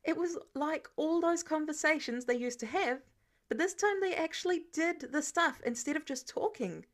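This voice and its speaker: High-voiced woman narrating a story. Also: normal speed. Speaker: fan fiction audiobook reader. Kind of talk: expressive scripted story narration.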